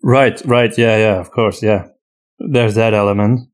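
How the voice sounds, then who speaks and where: A man talking casually and unscripted as a podcast host, often halting and repeating himself as he searches for words. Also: fairly low pitch, fairly even intonation. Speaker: podcast host and story writer answering listener questions.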